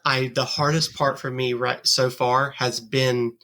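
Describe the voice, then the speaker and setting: A woman's voice: extremely low pitch, level tone, unhurried, a bit bright. A woman guest on a podcast, answering frankly and thoughtfully. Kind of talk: casual interview answers.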